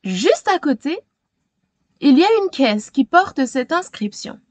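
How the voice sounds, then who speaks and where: A woman narrates a children's storybook as host. Her delivery is slow and careful, with big swings of expression, in a very dry recording. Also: high-pitched, big pitch swings, darkish tone, no echo.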